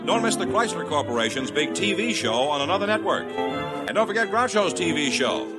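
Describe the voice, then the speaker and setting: A man giving a 1950s radio show's closing announcement, brisk and polished. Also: fairly high pitch, sing-song, loud music behind the voice.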